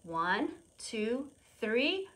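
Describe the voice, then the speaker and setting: A woman presents to preschoolers with a bright, lively voice. She takes her time, explains things in simple, repeated phrases and invites the children to take part. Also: a little high, very slow. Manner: simple repetitive explanations with questions and prompts for small children; refers to self in third person. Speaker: preschool storytime presenter at a public library. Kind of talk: children's lesson, demonstrating to young viewers at home.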